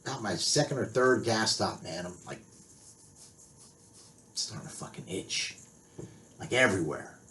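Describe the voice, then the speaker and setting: Low-voiced, foul-mouthed middle-aged biker. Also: male voice, lively intonation, unhurried, pauses often, fairly bright tone, a little hiss or hum. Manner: rambling, heavy swearing, blunt. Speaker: biker and author. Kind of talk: casual storytelling to camera.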